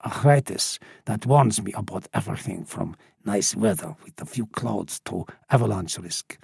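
A man voicing an ancient, gruff, secretive male border smuggler in a storybook, hushed, creaky and muttering. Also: lowish voice, normal speed, no echo, clean studio sound, pitched below the performer's own voice, exaggerated voice. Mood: self-satisfied.

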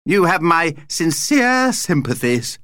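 Tiny theatrical storybook vampire, played by a male narrator: high, swooping delivery, opinionated and effusive. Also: very animated, slow, fairly bright tone, no room sound, studio quality, full character voice. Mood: contemptuous. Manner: effusive, dramatic exclamations.

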